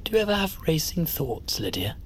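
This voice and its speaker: An actor playing a mild, eccentric uncle who muses philosophically in a gentle, halting way, in an audio drama. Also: male voice, on the high side, very animated, a little hiss or hum. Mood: gently curious. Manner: gentle, halting, deliberate musing.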